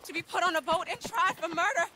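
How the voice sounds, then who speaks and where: A woman's voice: very high-pitched, quick pace, a little hiss or hum. A woman acting an earnest, distressed young woman of an outlaw gang in a western game. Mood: frightened, urgent. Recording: loud music behind the voice.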